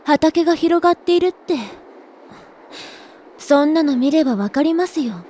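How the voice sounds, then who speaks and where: A woman's voice: high-pitched, lively intonation, moderate pace, warm tone, a little hiss or hum. A woman playing a prim know-it-all little sister in an audio drama, lecturing her hapless older brother in polite, exasperated speech. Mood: exasperated, scolding. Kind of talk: Japanese audio drama acting.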